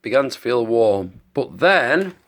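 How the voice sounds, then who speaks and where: Female bedtime storyteller, very deep for a woman, animated and patient, reading to a child. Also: takes it slowly.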